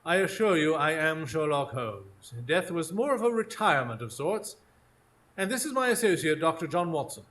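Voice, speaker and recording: A man's voice: a little high, very animated, moderate pace, fairly bright tone. A man playing a clever, self-assured detective in a radio drama, speaking in a precise, formal, haughty manner. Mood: resolute. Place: small theatre stage, recorded live in front of an audience.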